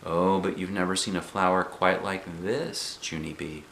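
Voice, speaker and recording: Man softly acting a gentle, encouraging mother. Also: low-pitched, fairly even intonation, moderate pace, gravelly, reverberant, slight background noise. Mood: admiring. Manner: soft, coaxing.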